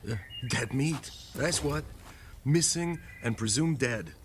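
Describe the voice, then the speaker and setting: An actor playing a frightened young soldier in a radio drama, talking in an anxious, rambling way. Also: male voice, medium pitch, expressive, slow pace, noisy background. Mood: panicky. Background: music score.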